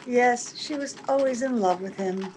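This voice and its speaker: A woman playing a wistful, gentle, secretly sad young-looking woman in an old-time radio drama. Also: fairly high pitch, measured pace, lightly acted. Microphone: video call. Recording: faintly noisy video-call recording.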